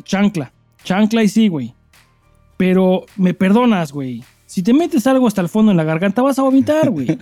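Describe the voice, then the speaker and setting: Mexican guy podcast host, kinda high mellow voice, crude slangy joking, dry sound w some music behind. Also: lively intonation, normal speed, no room sound. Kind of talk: casual chat and listener mail reading.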